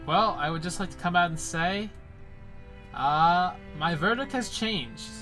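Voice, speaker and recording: Young guy, kinda high bright voice, joking around and rambling, faint music under it. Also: unhurried. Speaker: channel host. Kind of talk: casual anime debate chat and voice-over.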